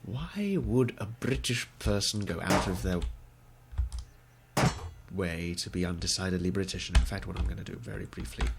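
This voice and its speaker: A man's voice: deep voice, normal speed, gravelly, very noisy. A young man with a cold, sounding congested, giving wry, mock-posh let's play commentary through a headset. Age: young adult. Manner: mock-posh, wry, gentlemanly put-on accent. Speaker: gaming video creator.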